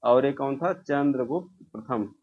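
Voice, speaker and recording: A man's voice: mid-range voice, lively intonation, a little slow, slightly rough. Man teaching, dull lo-fi sound. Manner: asks questions and answers them himself, repeating key answers. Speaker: exam coaching teacher. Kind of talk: informal question-and-answer revision lesson.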